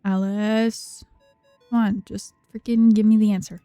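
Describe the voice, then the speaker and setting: A woman's voice: mid-range voice, flat delivery, measured pace, no echo. A woman giving casual playthrough commentary as a game streamer, with muttered exasperated asides. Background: soft game music.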